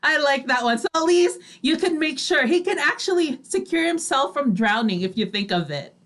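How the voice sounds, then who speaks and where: A woman's voice: high voice, lively intonation, normal speed, a bit bright. A woman co-hosting a radio show in a casual, chatty and agreeable way, peppering her talk with "like" and "you know".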